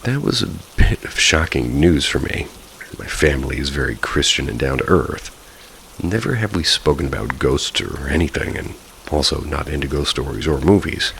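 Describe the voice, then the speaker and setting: A man's voice: extremely low pitch, moderate pace, creaky, a little hiss or hum, low-quality recording. A man narrating first-person ghost stories from a script, in the style of a bedtime listen. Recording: steady rain ambience behind the voice. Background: rain falling.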